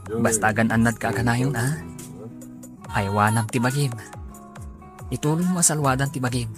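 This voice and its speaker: Actor as mid-pitched devoted young Ilocano man. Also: moderate pace, over music, close to an everyday voice. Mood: tender, caring. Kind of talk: radio drama acting.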